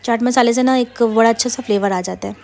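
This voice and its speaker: A woman, casually narrating a home cooking vlog in a rambling way, with frequent fillers and soft laughs. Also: on the high side, fast. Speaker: vlogger. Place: home kitchen.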